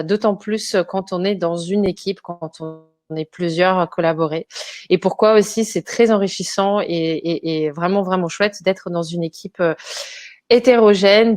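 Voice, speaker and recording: A woman hosting a webinar, talking in a halting way with restarts as she searches for words. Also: on the low side, a little mellow, no room sound, studio quality. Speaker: webinar host, company co-founder. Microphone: video call.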